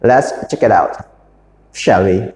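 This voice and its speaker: A man's voice: lowish voice, takes it slowly. A man giving casual reaction commentary as the host of a video. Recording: echoey, reverberant room.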